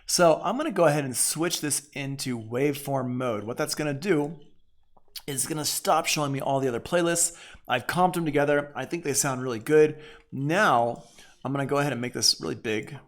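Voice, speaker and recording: A man's voice: mid-range voice, fairly quick, a bit bright. An American man with a mid-range voice teaches an audio production tool in a casual way. He thinks aloud as he demonstrates, talking informally and dropping short exclamations as each step is done.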